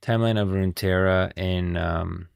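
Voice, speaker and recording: A man's voice: low voice, fairly even intonation, normal speed, vocal fry, smooth, no room sound, clean studio sound. A man hosting a livestream, giving casual game lore commentary and rambling as he thinks aloud. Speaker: livestream host.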